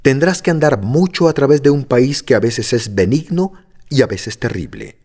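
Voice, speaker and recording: A man voicing a kindly little old witch woman who speaks in a gentle, measured way. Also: mid-range voice, animated, pitched above the performer's own voice.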